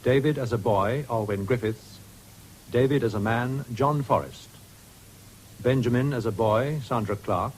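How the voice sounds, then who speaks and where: Male announcer, RP, medium pitch, measured formal scripted credits, slightly noisy vintage radio sound. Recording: faintly noisy 1970s radio broadcast.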